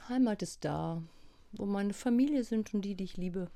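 A woman's voice: medium pitch, normal speed, creaky, mellow, no echo. A woman journalist speaking as a radio interview guest, reflective and thoughtful, often restarting her sentences. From Germany. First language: German.

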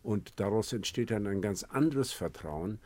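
A man's voice: on the low side, lively intonation, normal speed, a little mellow. A man speaking as an expert guest on a radio panel, earnest and hesitant with frequent restarts.

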